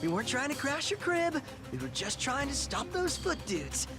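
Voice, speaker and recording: High-voiced goofy teen turtle dude. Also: male voice, very animated, moderate pace, loud music behind the voice, exaggerated voice. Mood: pleading, friendly. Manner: surfer-dude slang, exclaiming. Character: goofy, excitable teenage male mutant turtle. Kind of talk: cartoon video game acting.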